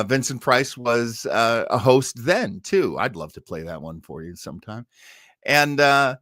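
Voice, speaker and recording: A man hosting a livestream, chatting casually to his audience as an enthusiastic, animated fan. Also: mid-range voice, no echo, clean studio sound. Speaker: livestream host. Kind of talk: casual chat to live audience.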